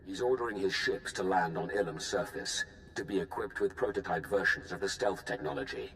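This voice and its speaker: An actor playing a secretive male Imperial informant in a video game, speaking in a measured, formal way. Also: sits low, fairly bright tone, echoey room, a little hiss or hum. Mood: guarded. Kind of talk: game voice acting.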